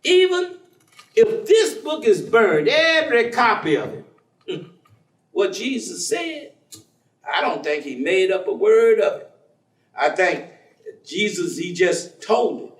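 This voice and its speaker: An actor playing a warm, devout, folksy man, teasing and preaching in a conversational staged reading. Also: high voice, big pitch swings, slow, long pauses, full character voice. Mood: earnest, reflective. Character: warm, devout, folksy man, witty self-styled outlaw believer. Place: theatre stage. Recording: echoey stage recording from far off.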